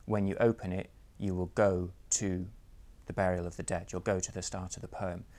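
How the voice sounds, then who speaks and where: A man with a very deep voice explains a project in measured, matter-of-fact terms. His intonation stays flat and even. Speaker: app publisher. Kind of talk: interview explanation.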